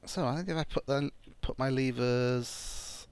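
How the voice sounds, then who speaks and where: A man with a croaky, phlegmy voice from a lingering cold narrates his gameplay in a relaxed let's-play style. He thinks aloud as he goes and halts often on ums and restarts. His pitch sits in an ordinary middle range. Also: unhurried, no room sound. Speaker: gameplay channel host. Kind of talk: casual let's-play commentary.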